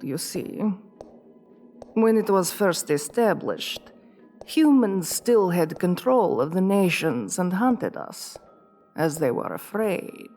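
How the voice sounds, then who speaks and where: Woman voicing a slow, regal, seductive vampire queen. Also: lowish voice, a little mellow, exaggerated voice. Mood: ominous, coaxing. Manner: formal, courtly, commanding, coaxing. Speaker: ASMR roleplay performer. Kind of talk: scripted ASMR roleplay, addressing the listener.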